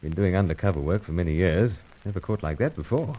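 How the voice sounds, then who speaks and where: An actor playing a dry, understated secret agent in an old-time radio spy serial, with clipped, hesitant, wry delivery. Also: male voice, low voice, animated, quick pace. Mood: dry narration. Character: dry, understated British secret agent narrating his own adventure. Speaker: lead actor and first-person narrator. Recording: dull, lo-fi radio serial recording.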